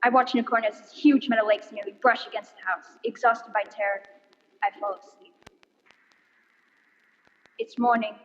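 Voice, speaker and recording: A woman's voice: mid-range voice, a bit bright, natural voice. Haunted woman professor, acted in reverberant hall. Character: weary, haunted woman professor, a lone survivor. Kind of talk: dramatic monologue in radio play. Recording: reverberant hall, dull stage recording.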